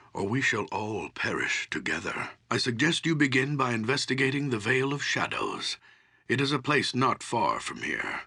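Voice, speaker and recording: Deep, gravelly old druid sage. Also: male voice, bright, forceful tone, heavily acted. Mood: urgent, earnest. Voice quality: gravelly, husky. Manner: solemn, deliberate, portentous storytelling. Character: grave old archdruid, solemn guardian of nature. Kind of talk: fantasy video game voice acting.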